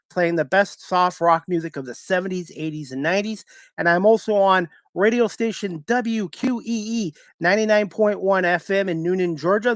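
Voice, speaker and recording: A man hosts a sports talk show in a distinctly high-pitched voice. The sound is dry, with little room around it, and he speaks as a radio host addressing listeners and guests. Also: no room sound.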